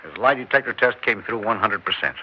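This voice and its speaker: An actor playing a stern chief who gives clipped orders, in a 1960s radio drama. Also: male voice, on the low side, expressive, brisk, breathy edge. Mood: brisk. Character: stern intelligence chief, brisk and commanding. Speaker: chief of an agency. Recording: muffled old radio broadcast.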